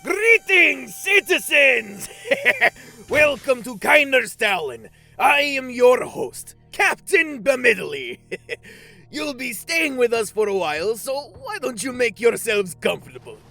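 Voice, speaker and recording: A man's voice: very high-pitched, very animated, slow, vocal fry, bright, forceful tone, faint music behind, exaggerated voice. A man playing a gleefully menacing alien pirate captain in a space comedy audio drama, pompous and showy. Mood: gleeful menace. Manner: pompous, chuckling showman.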